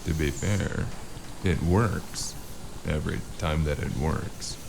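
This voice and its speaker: Gravelly, rock-bottom-pitched noir drifter played by a man, gruff, wry and understated, audio drama with noisy ambience behind. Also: slow pace, exaggerated voice. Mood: wry doubt. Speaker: voice actor and first-person narrator.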